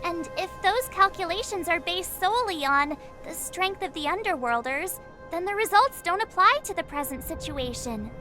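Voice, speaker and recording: Outgoing teenage girl adventurer for a game: extremely high, bright, animated delivery, music underneath. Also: moderate pace, full character voice. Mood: eager, persuasive. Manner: animated, chatty, emphatic. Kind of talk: video game voice acting.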